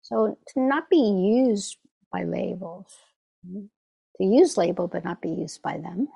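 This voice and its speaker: A woman's voice: lowish voice, unhurried, gravelly, warm tone, slightly rough. A woman meditation teacher giving a reflective dharma talk, often checking in with "right?" and "you know".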